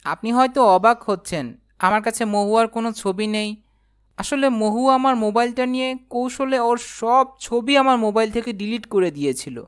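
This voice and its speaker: A woman plays a lovesick young man in an animated romantic drama. The voice is clean and smooth, fairly high. The delivery is earnest and confessional. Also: brisk, natural voice. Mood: sad, earnest.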